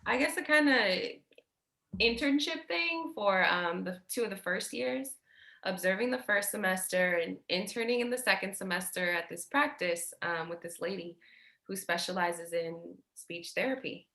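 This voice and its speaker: An actress playing a tired, protective, foul-mouthed older sister in a naturalistic staged play reading, hesitant, guarded and blunt. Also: female voice, medium pitch, moderate pace, creaky, sharp tone, roomy sound. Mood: weary, reluctant.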